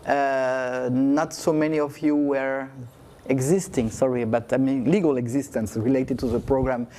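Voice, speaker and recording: A man speaking slowly and reflectively, with frequent fillers and halting, non-native phrasing. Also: medium pitch, level tone, mellow. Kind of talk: reflective speech.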